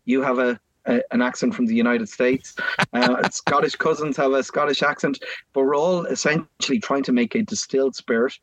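A man's voice: mid-range voice, normal speed, no room sound. Irish man telling whiskey history. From Ireland. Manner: rambling, explanatory, hesitant with fillers and restarts. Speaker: guest, whiskey maker. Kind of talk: casual conversational storytelling.

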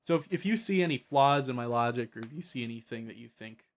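A man's voice: medium pitch, animated, fast. A man chatting casually as a podcast host about tabletop gaming, often repeating words and trailing off.

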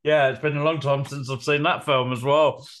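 Bright-voiced man sharing film trivia. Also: mid-range voice, steady tone, normal speed. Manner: informative, reels off film trivia. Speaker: podcast co-host. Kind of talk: casual film discussion.

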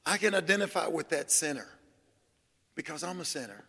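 A man's voice: on the high side, a little fast, creaky, slightly rough. Older man preaching in an echoey hall. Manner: folksy, humorous, animated storytelling with quoted voices. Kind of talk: sermon storytelling.

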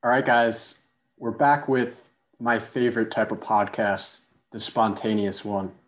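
A man's voice: lowish voice, steady tone, unhurried. Easygoing male podcast host. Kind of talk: casual interview.

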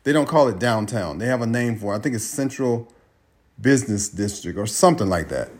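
A man's voice: fairly low pitch, animated, a little fast. An American man talking in a loose, rambling way, repeating phrases and correcting himself as he goes. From United States. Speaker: traveler sending a voice message. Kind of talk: casual spoken monologue. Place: Australia.

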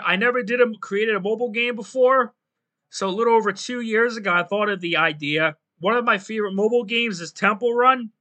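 A man hosting his own podcast in a casual, rambling solo talk, his voice going hoarse and often clearing his throat. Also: high voice, sharp tone. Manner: rambling, loose and self-deprecating, many fillers. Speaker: podcast host.

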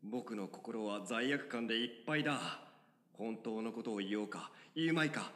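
A man's voice: fairly low pitch, animated, moderate pace, fairly bright tone. A man playing a flustered, stammering schoolboy. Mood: anxious. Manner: stammering, nervous. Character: shy flustered teenage schoolboy narrating. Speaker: voice actor. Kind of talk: audio drama acting with narration. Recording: long reverb with music underneath.

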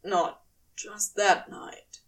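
A woman's voice: medium pitch, fairly even intonation, drawn-out words, fairly bright tone, whispered, recorded from a distance. A woman playing a guilty, flustered male demon in audio fiction, stammering and thick-voiced as he confesses. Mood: guilty, subdued. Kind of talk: audio fiction character acting.

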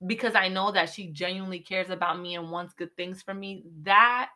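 A woman in her thirties co-hosting a casual podcast chat, candid and emphatic with animated asides. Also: lowish voice, moderate pace. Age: 30s. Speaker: podcast co-host.

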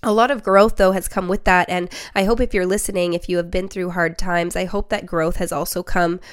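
Young woman podcaster, a bit nasal from being sick, smooth lower voice, earnest and encouraging toward the listener. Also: steady tone, a little fast. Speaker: podcast host and spiritual reader. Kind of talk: solo reflective podcast monologue.

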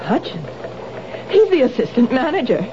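A woman playing a nervous housewife who is a reformed thief, speaking haltingly in a 1970s radio drama. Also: high-pitched, huge pitch swings, background noise. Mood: flustered. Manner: halting, flustered confessions. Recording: dull lo-fi old radio broadcast.

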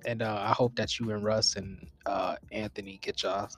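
A man's voice: on the low side, little pitch movement, moderate pace, faint music behind. A man around twenty co-hosting a podcast, talking earnestly about goals and chasing dreams, with frequent ums. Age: 20s. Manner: earnest, motivational, hesitant fillers. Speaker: podcast co-host. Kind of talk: casual podcast chat.

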